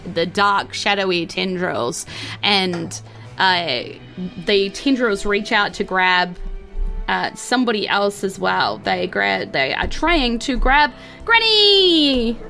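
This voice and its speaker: Female game master, New Zealand accent. Bright, clear-cut voice, conversational improvised storytelling over a faint music bed. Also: mid-range voice, lively intonation, slow. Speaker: dungeon master of a tabletop game podcast. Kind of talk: improvised game narration and casual table talk.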